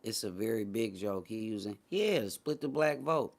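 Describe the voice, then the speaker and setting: Casting: American male livestream host, lowish voice, casual chat delivery, blunt and dismissive. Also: animated, moderate pace, creaky. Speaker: host of a morning talk show.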